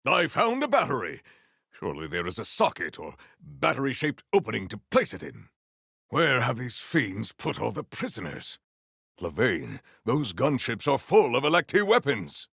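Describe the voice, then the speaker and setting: An actor playing a proud, battle-hungry warrior in a video game, with formal, stilted and grandiose diction. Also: male voice, mid-range voice, wildly swooping pitch, normal speed, vocal fry, a bit bright, slightly rough, no room sound, studio quality, full character voice. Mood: determined. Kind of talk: scripted video game character lines.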